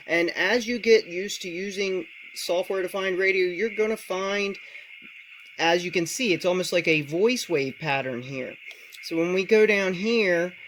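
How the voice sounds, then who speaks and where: A man's voice: high-pitched, a bit bright, slight background noise, poor audio. A man presenting a casual, step-by-step tech tutorial, explaining things directly to the viewer.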